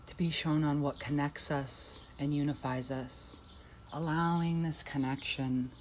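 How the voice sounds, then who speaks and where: A woman leading a guided yoga nidra meditation in a soft, unhurried, inviting way. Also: low-pitched, slight background noise.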